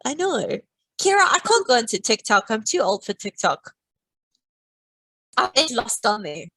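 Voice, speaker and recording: A woman's voice: fairly high pitch, sing-song, normal speed, a bit bright, husky, no room sound. A woman teaching a live online maths lesson, playful and teasing, walking through each step.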